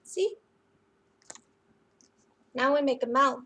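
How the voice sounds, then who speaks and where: A woman hosting a children's story time, reading and explaining crafts in an expressive, sing-song manner for young listeners. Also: high-pitched, takes it slowly, breathy edge. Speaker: library story time host. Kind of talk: children's picture book reading and craft demonstration.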